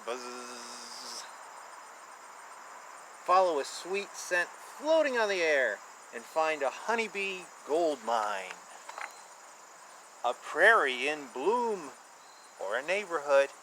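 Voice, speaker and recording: A man reading a children's picture book aloud and explaining it informally in simple terms, with an animated manner. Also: fairly high pitch, slow, long pauses, noisy background.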